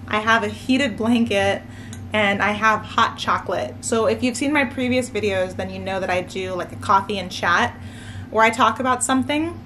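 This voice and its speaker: Relaxed chatty woman in her twenties. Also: mid-range voice. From Maryland, US. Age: 20s. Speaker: nursing student vlogger. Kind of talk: casual late-night chat to camera. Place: living room floor at home, indoors. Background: faint room ambience, heater hum.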